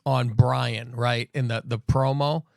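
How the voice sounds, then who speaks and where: A man's voice: mid-range voice, steady tone, slow pace, gravelly, no echo, studio quality. A man co-hosting a podcast, chatting casually about wrestling in a rambling way with lots of swearing and fillers. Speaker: podcast co-host.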